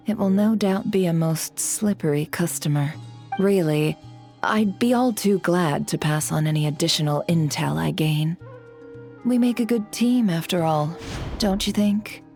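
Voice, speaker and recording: A woman playing a suave, scheming intelligence broker in a video game, with smooth, sly, measured and theatrically polite delivery. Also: deep voice, darkish tone. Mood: sly, confiding. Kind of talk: video game cutscene acting. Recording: background music behind voice.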